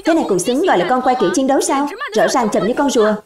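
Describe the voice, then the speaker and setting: Cocky boy competitor for kids' action cartoon, female actor: higher pitch, boastful, combative, roomy echo. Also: expressive, rapid-fire, crowd noise. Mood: cocky, taunting. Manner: boastful, shouting out battle moves. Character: cocky, combative young boy spinning-top battler. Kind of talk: dubbed children's action cartoon acting. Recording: roomy echo, music behind. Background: music.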